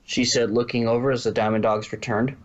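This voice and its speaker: A man's voice: medium pitch, little pitch movement, a little fast. A man narrating a fan fiction story as a reader.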